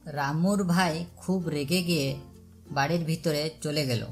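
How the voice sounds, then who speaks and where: Female narrator for a moral story, low register, scripted delivery, light music underneath. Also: a little slow. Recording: music behind the voice. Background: background music.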